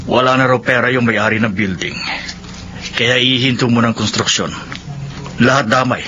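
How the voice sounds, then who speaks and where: An actor playing a glum, worried family man and laborer in a radio drama, speaking gravely. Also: mid-range voice, fairly even intonation, moderate pace, lightly acted. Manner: grave, downcast. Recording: dull, noisy radio broadcast.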